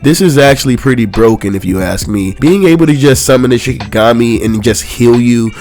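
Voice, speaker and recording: A young man with a slightly congested voice, recapping a manga chapter in casual, conversational commentary with quick exclamations. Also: medium pitch, animated, darkish tone.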